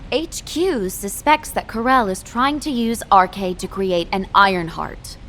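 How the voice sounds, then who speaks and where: A woman's voice: mid-range voice, a little slow, fairly bright tone, slight background noise, natural voice. A woman playing a composed military officer in a sci-fi game, speaking in a formal, official manner. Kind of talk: formal scripted briefing.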